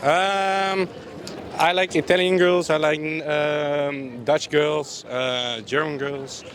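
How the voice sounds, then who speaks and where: A man in his early twenties fumbles through street-interview answers, full of ums and restarts. His voice sits a little high. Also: fairly even intonation, slow pace, a bit bright. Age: 20s. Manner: hesitant, many ums and restarts. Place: city street outdoors.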